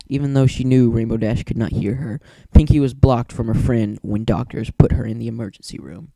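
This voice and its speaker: A man reads a story aloud as narrator. His voice is mellow and of middle pitch, with a dramatic scripted delivery and a dry, close sound. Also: vocal fry, no room sound, low-quality recording. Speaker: narrator, reader of fan fiction.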